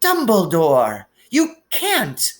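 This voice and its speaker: Give the storybook's older witch professor, as played by a man reader, a high, slow voice. She is a stern, anxious woman, easily astonished and quick to disapprove. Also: huge pitch swings, exaggerated voice. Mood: shocked, indignant.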